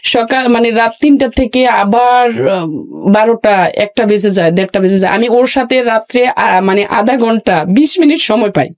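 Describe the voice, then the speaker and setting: A Bangladeshi woman speaks in a slightly high voice as a guest on an interview show. She tells a personal story in colloquial speech. Her delivery is halting and circles back over phrases. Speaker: guest telling own life story.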